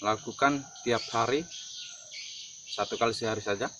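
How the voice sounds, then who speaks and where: A man presenting an informal demonstration vlog, explaining in a halting way. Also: medium pitch. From North Buton, Southeast Sulawesi, Indonesia. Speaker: herbal remedy video presenter. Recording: noisy outdoor audio with insects and birds.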